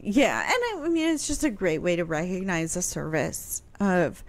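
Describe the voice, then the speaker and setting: An American woman in her thirties discussing history conversationally on radio into a close microphone, hesitant and pausing mid-thought. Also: fairly low pitch, sing-song. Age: 30s. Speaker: radio history show guest expert. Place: small home room, indoors. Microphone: close boom-arm studio microphone with headset.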